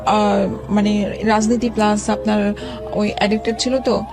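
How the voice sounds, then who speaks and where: A woman in her forties, a guest telling her own life story in an interview, speaking haltingly with many pauses and restarts. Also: mid-range voice, level tone. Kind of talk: emotional personal account in an interview. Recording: background music under the talk.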